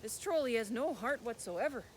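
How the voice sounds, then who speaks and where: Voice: mid-range voice, animated, normal speed, a little hiss or hum, lightly acted. A storyteller voicing a nervous, eager young boy who serves a troll, in a raised, fawning voice.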